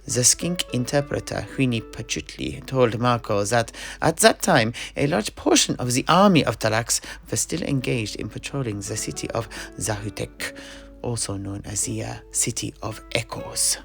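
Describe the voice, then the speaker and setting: Worldly mercenary knight, acted by a man, animated and hesitant storyteller, gravelly edge, fantasy audio drama over faint music. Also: medium pitch, moderate pace, lightly acted. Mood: storytelling. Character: worldly, good-humoured mercenary knight telling his tale.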